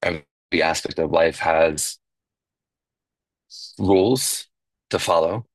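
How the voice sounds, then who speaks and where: Very deep-voiced man sharing his story. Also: no room sound, rough recording. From New York. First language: Yiddish. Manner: reflective, halting, with fillers and restarts. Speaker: speaker at a recovery meeting. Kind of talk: personal recovery share.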